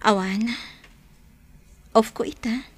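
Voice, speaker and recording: A woman's voice: a little high, slow pace, breathy edge. An actress with an Ilocano accent playing a sweet, shy, single young woman in a radio drama, speaking in a hesitant, coy way. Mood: grateful. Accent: Ilocano, northern Philippines. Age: young adult.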